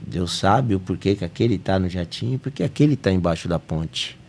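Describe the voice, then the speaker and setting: A Brazilian man with a lowish voice talks earnestly as the host of a spiritist study podcast. His Brazilian Portuguese accent comes through, and he climbs now and then to emphatic peaks. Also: lively intonation, fairly quick, a little mellow, slight background noise.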